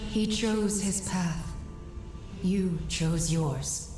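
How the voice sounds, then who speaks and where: An actress voicing a steadfast mystical guide in a fantasy video game, grave and measured as she advises a warrior. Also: lowish voice, darkish tone. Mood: grave warning. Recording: echoey, music behind. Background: game score.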